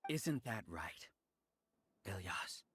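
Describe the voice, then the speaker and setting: Smug, calculating male villain, expressively pitched. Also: big pitch swings, fairly bright tone, slight background noise, exaggerated voice. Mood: lecturing, persuasive. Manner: haughty, lecturing, measured. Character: smug, calculating male cult leader, detached villainous intellectual. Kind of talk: anime game voice acting.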